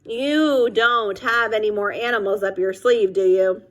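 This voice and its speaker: A woman's voice: medium pitch, a little slow, a bit bright, close to an everyday voice. A woman voicing a firm, questioning but amused mother of a young girl in a children's storybook.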